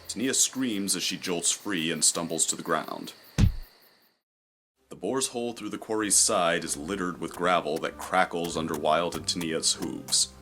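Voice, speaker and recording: A man's voice: low-pitched, unhurried, bright, forceful tone, faint music behind. A man reading scripted, dramatic third-person story narration for a fantasy audio drama.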